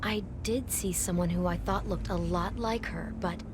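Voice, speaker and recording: An actress playing an earnest, slightly embarrassed motel desk clerk in a video game, speaking in a hesitant, confiding way. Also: female voice, on the low side, normal speed, background noise, natural voice. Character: embarrassed, earnest married woman working a motel front desk. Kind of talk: naturalistic video game acting.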